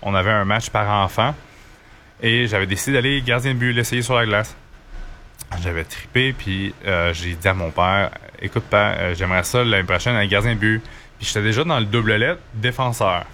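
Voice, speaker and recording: Male interview guest, Quebec accent, lowish and bright, colloquial storyteller, slight background noise. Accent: Quebec French. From Quebec, Canada. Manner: informal, colloquial. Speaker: interview guest, hockey goaltender. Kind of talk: casual conversational storytelling.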